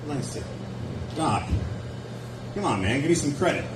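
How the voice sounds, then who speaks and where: A man's voice: medium pitch, very animated, normal speed. A man in his forties doing a stand-up set at a nightclub on a handheld mic, sardonic and chatty with the crowd. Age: 40s. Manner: sardonic, wry asides, chatty with the audience. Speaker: stand-up comedian. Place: nightclub stage, indoors. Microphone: handheld stage mic. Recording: echoey club recorded from a distance, with background noise.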